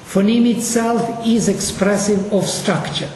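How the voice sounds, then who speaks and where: Brief: Russian-accented linguistics lecturer, mellow tone, slow and deliberate delivery, echoey hall with faint room hum. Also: medium pitch. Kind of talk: course lecture. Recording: echoey hall, mic at a distance.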